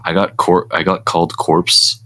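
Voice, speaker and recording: A man's voice: low-pitched, level tone, moderate pace, pushed and bright. A young man hosting a casual podcast over an online call, teasing and laid-back in a dry way. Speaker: podcast host. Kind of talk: casual chat.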